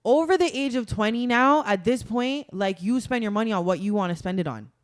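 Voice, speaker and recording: Young woman, podcast guest, smooth clear tone, dry close sound, emphatic and chatty. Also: a little high, brisk, no echo. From Toronto, Canada. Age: young adult. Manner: chatty and emphatic, many "like" fillers. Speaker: guest, carnival masquerader. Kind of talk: casual podcast conversation.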